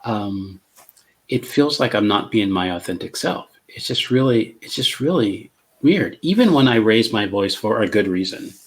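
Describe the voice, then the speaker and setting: A middle-aged man with a fairly low voice talks slowly and calmly on a video call. He keeps his words measured and gentle, often pausing with fillers and starting over. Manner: measured, calm, with many fillers and restarts. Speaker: co-host. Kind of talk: casual conversation.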